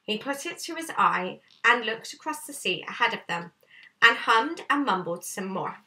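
A woman's voice: a little high, expressive, moderate pace, sharp tone. A woman in her thirties reading aloud like a teacher, narrating a storybook in a room at home. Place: small bright room indoors at home. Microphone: camera a short way off. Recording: slightly distant camera microphone.

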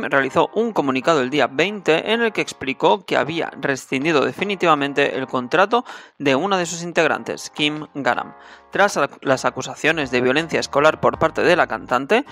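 A man's voice: fairly high pitch, expressive, normal speed, a bit bright. A man hosting a scripted entertainment news roundup, addressing viewers directly as a presenter. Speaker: host of a Korean entertainment news channel. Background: music under the voice.

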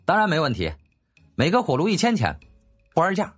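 男声演绎嗓音清亮上扬的油滑年轻公子. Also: male voice, fairly high pitch, huge pitch swings, fairly quick, faint music behind, voice pushed up. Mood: breezy, boastful. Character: cheeky, shameless young nobleman turned merchant, glib and smiling. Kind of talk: audiobook character acting.

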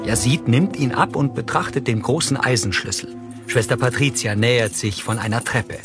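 A male audio description narrator at a middle pitch. He reads scripted scene descriptions in the present tense, with clear soundtrack music behind him. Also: moderate pace.

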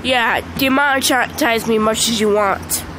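Woman, stuffy congested voice, slow drawling pace, noticeable background hum. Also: fairly high pitch. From Michigan. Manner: excitable, squeals at the game. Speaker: gaming channel host. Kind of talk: casual gameplay commentary. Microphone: phone screen recording.

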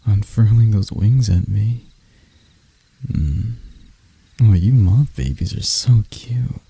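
A man voicing a sadistic, seductive male spider-elf predator in intimate ASMR roleplay, breathy and teasing with his endearments. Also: on the low side, mellow, dry recording, exaggerated voice. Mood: teasing, soothing. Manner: slow, teasing, purring endearments.

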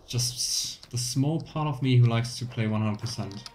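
A man's voice: medium pitch, a little slow, a little mellow, a little hiss or hum. A young man giving casual, playful live commentary as he plays a video game, chatting to the game and viewers. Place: small indoor room at home. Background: game music.

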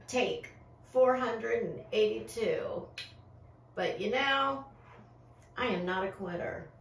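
A middle-aged woman hosting a casual commentary vlog with a theatrical, sassy, drawn-out delivery. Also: a little high, a bit bright. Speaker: host of a crime and fashion commentary show. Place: small furnished room, indoors. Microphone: far camera microphone. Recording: echoey room, distant microphone.

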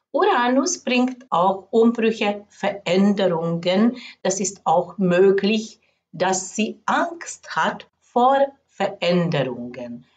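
Slow, calm woman astrologer, dull sound. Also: mid-range voice. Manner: calm, unhurried, gently explaining. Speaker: astrologer and channel host. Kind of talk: slow storybook narration of astrology.

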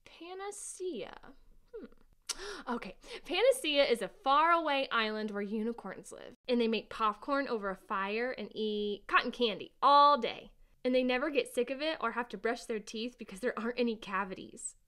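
A woman playing an imaginative, stubborn little sister in a family audio drama, with childlike, expressive delivery. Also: on the high side, moderate pace. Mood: playful, imaginative.

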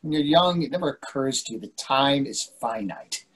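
A man's voice: mid-range voice, expressive, normal speed, a bit bright, husky, poor audio. An older man reading the part of an anxious, bossy husband in a stage play, fretful and full of questions. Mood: exasperated, wry. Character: anxious, bossy, needling husband in long marriage. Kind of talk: readers theatre play reading. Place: indoors on a video call.

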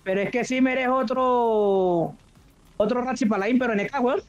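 A man's voice: extremely high pitch, moderate pace. A man joking casually with his teammates during a game. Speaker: gaming teammate. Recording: dull, dry voice chat, music behind.

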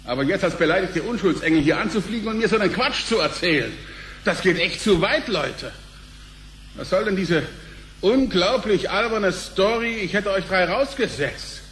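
An actor playing a brash, crude and jovial company director in a radio play, blustering in blunt, slangy exclamations with an excitable delivery. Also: male voice, high-pitched, normal speed, slight background noise. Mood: indignant. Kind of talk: German radio play acting.

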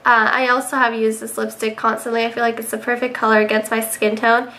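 A young woman with a stuffy, nasal voice, sick but cheerful enough to chat through her favorite products. Her tone is bright and sits a little high, full of casual ums. She is recorded on a webcam in her bedroom. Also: level tone, a little fast. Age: young adult. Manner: chatty, many ums. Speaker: beauty vlogger. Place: bedroom indoors.

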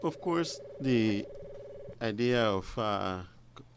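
A man giving formal, measured, halting explanations as an expert guest on radio. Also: fairly low pitch, animated, noisy background. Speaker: fisheries officer, studio guest expert. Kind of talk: explanatory interview answers. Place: radio studio.

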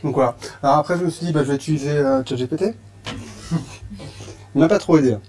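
A man's voice: fairly high pitch, fast talker, a little mellow. Hesitant French man giving a meetup talk. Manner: hesitant, many fillers and restarts. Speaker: meetup presenter. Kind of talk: casual conference talk. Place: meetup room with audience.

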